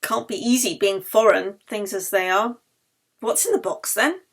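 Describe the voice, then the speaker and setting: A woman's voice: mid-range voice, lively intonation, normal speed. Woman putting on a blunt, cheeky ambulance man. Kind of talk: audiobook character dialogue.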